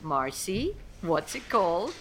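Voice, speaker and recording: A woman's voice: lowish voice, big pitch swings, fairly bright tone, slight background noise, full character voice. A woman playing a kindly but firm elementary school teacher in a radio play, speaking carefully as if to young children. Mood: cheerful, patient. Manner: measured, enunciating as to young children.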